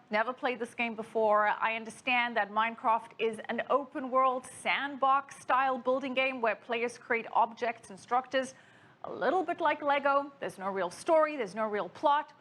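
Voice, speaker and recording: A woman's voice: fairly high pitch, normal speed, fairly bright tone. A woman anchoring a broadcast news interview, putting questions to a guest.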